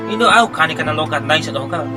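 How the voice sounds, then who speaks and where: A man hosting a family vlog, chatting casually with animated, joking banter. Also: a little high, fast talker. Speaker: channel host. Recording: loud music playing behind.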